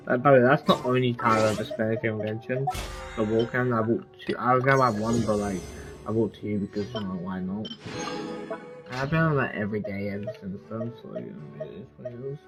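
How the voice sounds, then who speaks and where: A man giving casual gameplay commentary as a streamer, talking in a rambling, informal way. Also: medium pitch. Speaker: video game streamer. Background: music.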